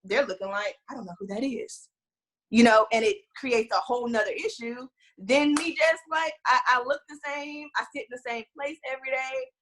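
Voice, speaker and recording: A woman's voice: fairly high pitch, moderate pace, fairly bright tone, slight background noise, low-quality recording. A woman in her late twenties with a Texas accent, talking candidly and firmly in casual conversation. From Houston, Texas.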